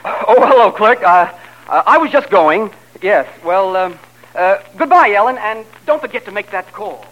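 An actor playing a flustered, stammering husband caught between two women in a 1940s radio comedy. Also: male voice, sits high, normal speed, muffled recording. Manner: stammering, excitable, pleading.

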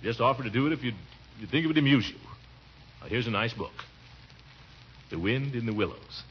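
An actor playing a harried, grumbling middle-aged American uncle in theatrical, exasperated 1940s radio comedy style. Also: male voice, mid-range voice, a little fast, natural voice. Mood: weary grumbling. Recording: dull, noisy old radio recording.

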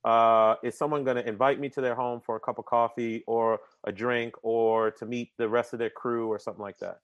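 A man in casual conversation as an interview guest, energetic and talkative. He often repeats a word as he starts a sentence and drops in casual slang. His voice sits at a middle pitch and the recording is dry and close, with little room sound. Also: fairly quick, no echo, highs cut off. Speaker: podcast guest.

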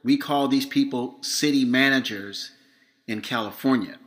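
A middle-aged man from Florida, slightly hoarse, hosting his podcast in a measured monologue read from notes with some fillers. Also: medium pitch. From Florida, USA. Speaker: podcast host.

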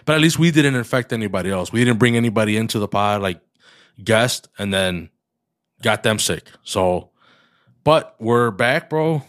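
A man's voice: on the low side, expressive, moderate pace, a bit bright, dry recording, clean studio sound. A young man co-hosting a casual podcast, congested and coughing, slipping Spanish words into his English and swearing often. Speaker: podcast co-host.

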